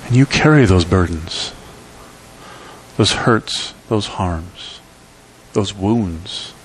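A middle-aged man preaching in a church, speaking from a lectern with emphatic, rhythmic delivery that swings between loud peaks and quiet drops. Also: drawn-out words, a little hiss or hum, rough recording. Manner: emphatic preaching with sweeping pitch and volume swings. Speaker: pastor. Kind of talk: sermon. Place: church sanctuary.

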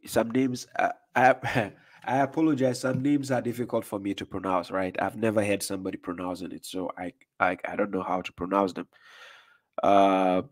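Male streamer, 30s, lowish pitch, relaxed chat greeting viewers, voice slightly worn, close desk mic in a small dark room. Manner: reads viewer comments aloud, frequent "uh" and "right?". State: recovering from losing his voice. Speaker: livestream host. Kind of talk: casual livestream chat. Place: dark room with curtains, indoors. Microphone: desk condenser mic in front.

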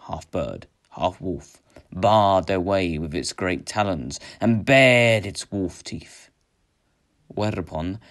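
A man reading aloud a children's adventure story in an expressive narrating style. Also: deep voice, gravelly. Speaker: story reader.

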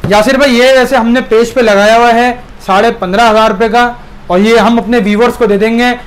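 A man with a Pakistani accent giving a casual, eager sales pitch as a phone retailer, repeating prices and specs. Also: steady tone, a little fast. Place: small phone shop, indoors. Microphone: clip-on lapel mic.

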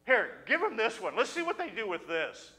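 A man's voice: sits high, sing-song, moderate pace, sharp tone, voice pushed up, heavily acted. A man voicing playful angels gleefully tossing out tests, in a teasing, laughing tone. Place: small church sanctuary. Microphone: pulpit stand mic.

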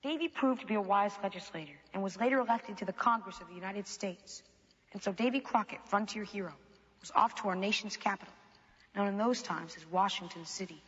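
A woman's voice: medium pitch, a little fast, a bit bright, lightly acted. A woman narrating a scripted storybook tale for television. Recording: echoey, dull old TV soundtrack.

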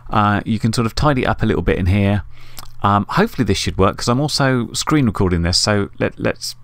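A man with a fairly low, gravelly voice talks through a tutorial as he works. His delivery is informal and thinking-aloud, and the sound is dry and close. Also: expressive, fairly quick, no echo. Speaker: tutorial host. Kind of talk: casual screen-recorded tutorial. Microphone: USB desktop microphone.

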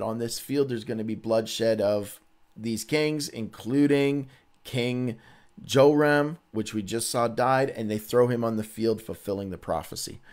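A man reading the Bible aloud as a teacher, dramatizing the quotes and adding informal commentary. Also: medium pitch. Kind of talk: animated Bible read-along with casual commentary.

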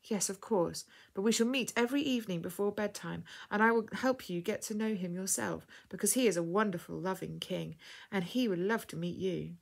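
A woman voicing a kindly, devout captive cook in a story, speaking softly and invitingly in a motherly way. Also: medium pitch, a little fast, vocal fry. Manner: soft, inviting.

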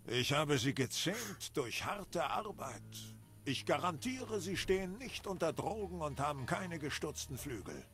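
Old game character: self-assured beast keeper, higher male voice, very unhurried, faint music behind. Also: sing-song, a bit bright. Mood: proud, assured. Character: old man, proud keeper of tamed beasts. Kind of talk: video game dialogue acting.

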